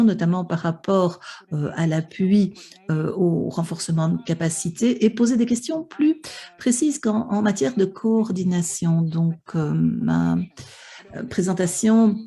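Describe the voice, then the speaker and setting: A woman's voice: fairly low pitch, warm tone. A woman interpreting a conference panel live, with many hesitations and restarts. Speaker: simultaneous interpreter for an online panel.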